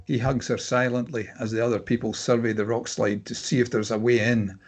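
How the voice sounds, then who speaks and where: Male narrator, raspy mid-range voice, reading screenplay action lines. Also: medium pitch, little pitch movement, fairly quick. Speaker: stage-direction reader. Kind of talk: scripted narration at a table read.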